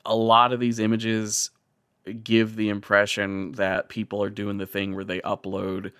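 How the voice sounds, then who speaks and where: A man's voice: fairly low pitch, completely flat delivery, a little slow, fairly bright tone, clean tone, no room sound, studio quality. A man hosting a podcast, talking casually and comedically with a wry, profane streak. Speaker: podcast host.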